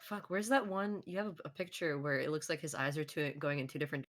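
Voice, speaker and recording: A woman's voice: deep voice, fairly even intonation, fast talker, no echo. A woman chatting casually in a blunt, slangy way with plenty of swearing. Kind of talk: casual voice-chat banter.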